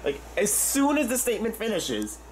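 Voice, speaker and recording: A man comments casually and spontaneously as he films indoors on a phone. His pitch moves around a lot, and there is clear noise in the recording. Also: wildly swooping pitch, moderate pace, rough recording. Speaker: video maker, weather radio hobbyist. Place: bedroom indoors. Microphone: handheld phone behind the camera.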